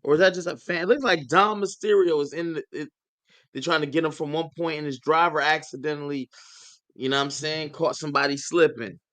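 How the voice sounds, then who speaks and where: Excitable bright-voiced male co-host. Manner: excitable, quick to react, jumps up in pitch. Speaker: podcast co-host. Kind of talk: casual podcast chat.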